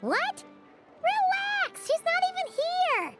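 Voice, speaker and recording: A tiny, excitable game fairy chatters in an extremely high, childlike voice. The delivery is bubbly and highly animated, swinging up and down, with music playing behind it. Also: female voice, slow pace, heavily acted. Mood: startled, flustered. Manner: bubbly, expressive, speaks of self in third person. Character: small chirpy childlike female fairy companion, excitable and chatty. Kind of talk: video game voice acting.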